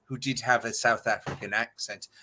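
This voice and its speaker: A man's voice: medium pitch, fairly even intonation, normal speed, bright, forceful tone, slight background noise. A man from California with an American accent, chatting casually as a talk show panelist. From California, United States. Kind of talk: casual chat.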